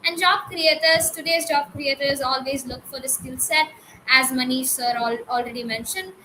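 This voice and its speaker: A woman's voice: high voice, fairly even intonation, moderate pace, sharp tone, slight background noise, rough recording. A young female student with an Indian accent giving an earnest, emphatic answer as a panelist.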